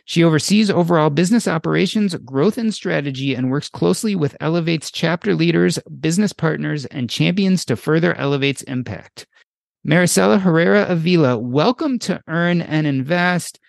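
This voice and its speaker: A middle-aged man hosting a podcast, reading a prepared intro in measured, emphatic phrases before asking his questions. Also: fairly high pitch, vocal fry, no echo, studio quality. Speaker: podcast host. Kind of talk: scripted intro then interview questions.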